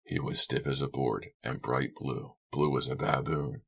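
A man's voice: a bass voice, steady tone, unhurried, creaky, fairly bright tone, slightly rough, exaggerated voice. A man voicing a drunken, mocking, leering man in an audiobook, with slurred, crude, drawling speech. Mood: morbid amusement. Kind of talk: audiobook character voice.

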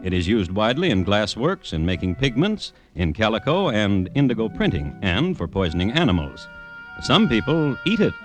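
A man's voice: fairly low pitch, very animated, moderate pace, soft background music. A man hosting and narrating an old radio crime drama in a dry, precise and ironic way. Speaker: host narrator.

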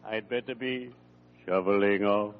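An actor playing a genteel, cheerfully morbid undertaker with theatrical, ornate speech in an old-time radio comedy. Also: male voice, fairly low pitch, fairly even intonation, unhurried, natural voice. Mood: drily amused. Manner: genteel, theatrical, drily macabre. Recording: muffled, noisy vintage radio recording.

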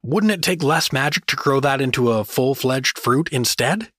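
A man's voice: medium pitch, animated, normal speed, a bit bright, slightly rough, no room sound, studio quality. An actor playing a hapless, sarcastic everyman hero in a comedic fantasy audio drama, joking and hesitant.

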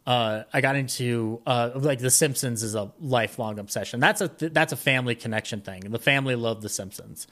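A man with a mid-pitched voice talks casually as an interview guest. He rambles through his recollections in a halting way, often doubling back and rephrasing in the middle of a sentence. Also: fairly bright tone. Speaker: podcast guest. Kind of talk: casual conversational interview, reminiscing.

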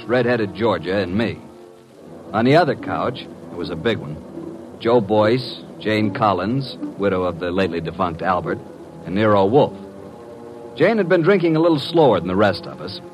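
An actor playing a wry, cheeky, streetwise detective's assistant with a breezy, mock-polite manner, in 1950s radio drama style. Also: male voice, fairly low pitch, lively intonation, moderate pace, music in the background, lightly acted, low-quality recording. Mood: wry narration.

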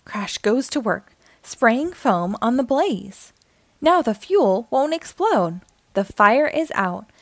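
A woman reads a children's story in a high, expressive voice. Her storytelling is dramatic and animated, with an unhurried pace suited to young listeners. The recording is dry and close. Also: no echo. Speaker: storybook reader, host. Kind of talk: expressive children's read-aloud narration.

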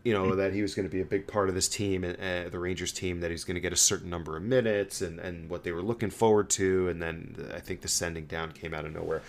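A man hosting a sports podcast, talking casually as a fan and telling stories in an animated, stammering way. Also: deep voice, fast, hardly any pauses, a little hiss or hum. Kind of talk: casual hockey fan chat.

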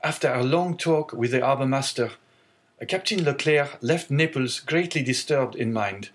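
A man's voice: mid-range voice, voice pushed up, natural voice. A man voicing an earnest, resolute young sailor who speaks with sorrow, in an audiobook reading.

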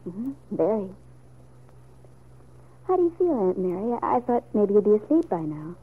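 Young woman, tinny old broadcast, gentle, hesitant, earnest, soap opera drama. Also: medium pitch, big pitch swings, moderate pace, breathy edge. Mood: soft, friendly. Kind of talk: old-time radio soap opera acting. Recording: thin, phone-like old radio recording.

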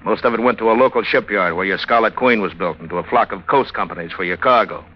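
An actor playing a shrewd police investigator in an old radio drama, probing with false patience in an American accent. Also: male voice, lowish voice, fast, close to an everyday voice. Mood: pressing, suspicious. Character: shrewd, needling male police investigator questioning a suspect. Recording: dull vintage radio recording.

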